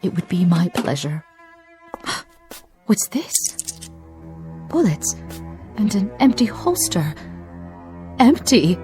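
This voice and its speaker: A woman's voice: on the low side, very animated, slow pace, pauses often, over music. A woman acting in a radio drama as a devoted, solicitous housekeeper with a tender, deferential manner. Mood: alarmed.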